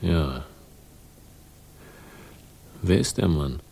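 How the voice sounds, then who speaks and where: Gravelly, deep hard-boiled cynic. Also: male voice, drawn-out words, warm tone, full character voice. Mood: skeptical. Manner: laconic, sardonic. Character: cynical, stubborn male hard-boiled crime investigator, wry and defiant. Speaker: actor in a radio crime drama. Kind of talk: 1960s German radio play acting, dialogue and narration.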